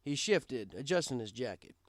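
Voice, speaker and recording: A man's voice: medium pitch, sing-song, moderate pace, dry recording, studio quality. A man reading a first-person story aloud as narrator, in a conversational, wry storytelling style.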